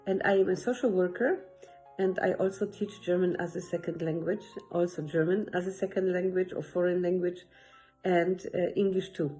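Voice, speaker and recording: Low-voiced woman from Poland. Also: fairly even intonation, normal speed, mellow, soft background music. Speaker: social worker and language teacher. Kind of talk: personal introduction.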